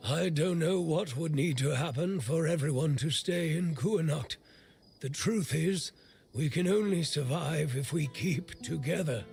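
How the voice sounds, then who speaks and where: An actor playing a gruff, irreverent old smith in a video game, with a gravelly voice and a blunt, sardonic way of talking. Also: male voice, on the high side, darkish tone. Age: older. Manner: blunt, foul-mouthed, sardonic. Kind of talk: video game character dialogue.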